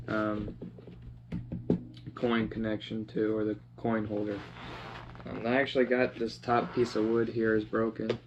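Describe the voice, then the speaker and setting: Halting young man, noisy DIY recording. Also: medium pitch, dull audio. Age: 20s. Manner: halting, searching for words. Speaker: DIY vlogger. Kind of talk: casual how-to narration while working. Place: indoors: vehicle cab in a shed, later a basement room. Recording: clearly noisy camera audio with clicks.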